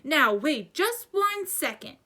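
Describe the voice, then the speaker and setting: A gruff, kindly giant of a storybook as a woman reader puts him on. The voice booms and growls with a quick temper and drawn-out emphasis, bright and forceful, pushed well up in pitch. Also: animated. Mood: indignant. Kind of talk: children's storybook read-aloud.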